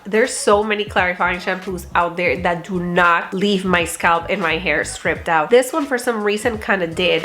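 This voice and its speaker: Young woman, nasal, congested, casual slangy chat, hair vlog. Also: mid-range voice. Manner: chatty, slangy, animated with swearing and laughs. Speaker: beauty vlogger.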